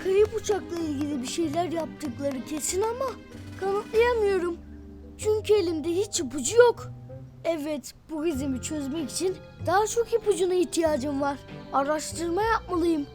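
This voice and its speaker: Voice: very high voice, animated, normal speed. A performer voicing a small, eager, suspicious young animal detective in a children's cartoon, speaking in a dramatic, inquisitive way. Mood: determined. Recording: music playing behind the voice. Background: cartoon background music.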